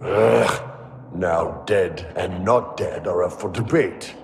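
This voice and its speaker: Very deep, gruff warrior. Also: male voice, slow, a little mellow, full character voice. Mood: sardonic disbelief. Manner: sardonic, blunt. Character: big, blunt, gruff male warrior. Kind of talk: video game voice acting. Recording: cavernous reverb, clear background noise.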